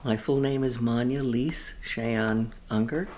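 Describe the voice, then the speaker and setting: Woman in her seventies, halting and reflective. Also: extremely low pitch, fairly even intonation, dark tone. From New York. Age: 70s. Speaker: children's advocate. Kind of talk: documentary interview.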